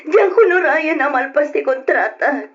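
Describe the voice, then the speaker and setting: Distraught young wife, radio drama: high tearful pleading, muffled audio. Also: female voice, expressive, normal speed. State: crying. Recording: dull lo-fi radio recording.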